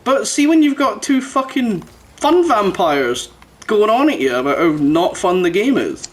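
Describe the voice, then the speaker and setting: A man's voice: sits high, sing-song, measured pace, slight background noise. A man chatting casually and bluntly as a podcast host, swearing often. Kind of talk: casual chat.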